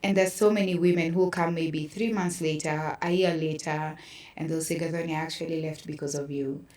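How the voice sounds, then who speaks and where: Low-voiced Kenyan woman, roomy recording. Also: fairly even intonation, a little fast, darkish tone. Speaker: podcast guest, artist. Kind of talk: personal testimony in conversation. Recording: roomy echo, distant from mic.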